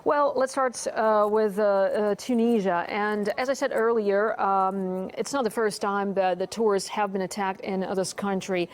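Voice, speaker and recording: A woman's voice: mid-range voice, steady tone, measured pace, few pauses. A woman presenting TV news and interviewing a guest, with many hesitant fillers. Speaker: news anchor.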